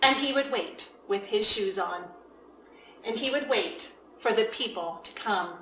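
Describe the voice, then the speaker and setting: A woman reading a children's storybook aloud as its author, in an expressive, deliberate way with emphasis for young listeners. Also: medium pitch, slight background noise. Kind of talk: storybook read-aloud narration. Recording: echoey room.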